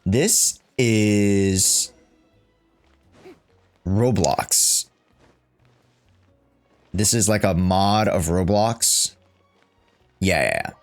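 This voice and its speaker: A man's voice: low-pitched, drawn-out words, long pauses, soft background music. A man livestreaming a casual, slangy reaction to a game, joking and rambling as he goes.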